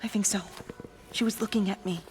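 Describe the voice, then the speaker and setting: A woman's voice: medium pitch, steady tone, a little fast, a little mellow, lightly acted. An actress playing a caring, practical young woman in a radio play, speaking in a firm, reassuring way. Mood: worried. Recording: music under the voice. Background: music soundtrack.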